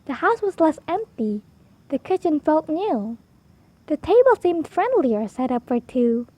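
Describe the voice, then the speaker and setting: A woman with a high voice narrates a rhyming picture book for children. Her delivery is expressive and keeps a measured rhythm, and the sound is dry and close. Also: no echo. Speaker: storybook reader for children. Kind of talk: read-aloud rhyming children's storytime narration.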